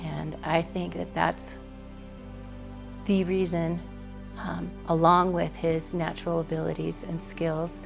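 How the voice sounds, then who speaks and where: American lady in her 50s, lowish creaky voice, reflective interview with music behind. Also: level tone, takes it slowly. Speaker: interviewee, broadcaster's wife. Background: background music.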